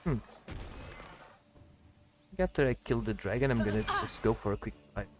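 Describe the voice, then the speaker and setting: A man's voice: fairly low pitch, moderate pace, background noise. A man giving casual gameplay commentary, muttering his thoughts aloud in short fragments. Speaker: gamer narrating a playthrough. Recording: game music behind. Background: video game music.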